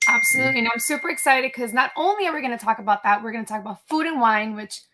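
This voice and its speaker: A woman's voice: on the high side, racing, very noisy. A woman hosting a casual podcast, upbeat and gushing, her voice a little cracky as she loses it. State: losing her voice, a bit cracky. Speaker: podcast host.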